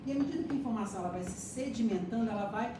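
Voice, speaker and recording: A woman's voice: on the high side, racing. A woman giving a classroom lecture, explaining step by step and checking that her students follow. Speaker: lecturer teaching hypnosis and neuroscience. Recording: echoey room, distant mic, audible noise.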